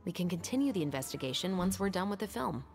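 An actress playing a calm, methodical detective captain who speaks in a measured, serious way. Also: female voice, fairly low pitch, gravelly, faint music behind. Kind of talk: video game voice acting.

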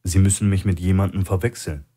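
A man's voice: low voice, fairly even intonation, fast talker, warm tone, breathy edge. A man voicing a wary, evasive male hostage with a guarded, questioning manner in an audiobook.

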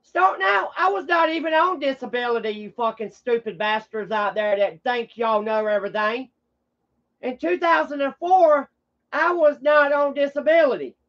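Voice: sits high, normal speed, muffled recording. A streamer talking off the cuff to viewers in a blunt, combative, swearing manner. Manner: blunt, combative, foul-mouthed. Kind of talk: casual rambling livestream talk to viewers.

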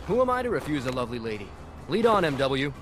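A man's voice: mid-range voice, big pitch swings, fast talker, very noisy, close to an everyday voice. An actor playing a jaunty, quippy young male superhero in a video game. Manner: quippy, breezy. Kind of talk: video game cutscene acting.

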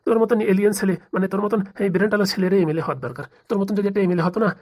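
A woman's voice: medium pitch, racing, a little mellow, close to an everyday voice. A woman voicing a male village politician in a comic cartoon, gushing and familiar, a scheming brotherly patron. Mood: effusive, brotherly.

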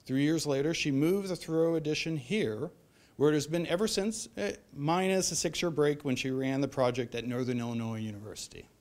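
A man's voice: a little high, brisk, darkish tone. A middle-aged American man reading a prepared introduction as host of a public talk. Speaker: event host introducing a speaker. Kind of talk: prepared introduction speech, read aloud. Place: library event room, at a lectern.

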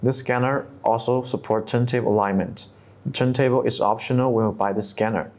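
Man presenting a scripted software tutorial. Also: mid-range voice, steady tone, fairly quick. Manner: non-native English phrasing, step-by-step instructional delivery.

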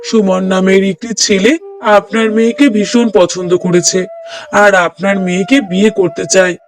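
A man's voice: high-pitched, flat delivery, moderate pace, lightly acted. A man playing an obliging village matchmaker in a cartoon drama, talking in a reassuring, persuasive way.

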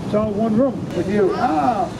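A man's voice: high voice, measured pace, a crowd in the background, noisy background. A man guiding a boat tour, making jokes over the microphone to passengers. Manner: entertaining, wisecracking. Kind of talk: joking tour commentary over a PA. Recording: loud boat engine and waves.

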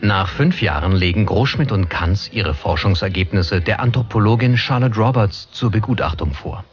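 A man's voice: lowish voice, animated, normal speed, muffled recording. A man reading scripted documentary narration as the narrator. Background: prominent background music.

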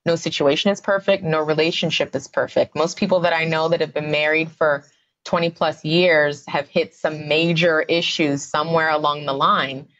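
A woman's voice: sits low, fairly even intonation, moderate pace, fairly bright tone, highs cut off. A woman, a psychotherapist guest on a podcast, explaining her views in a conversational way with many fillers. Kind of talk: podcast interview.

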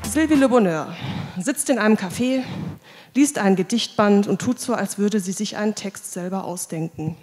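A woman's voice: medium pitch, moderate pace, a little mellow, faint music behind. A woman performing a wry third-person story at a poetry slam. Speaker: slam poet. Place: live stage in an indoor event hall. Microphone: mic on stand. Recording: reverberant hall.

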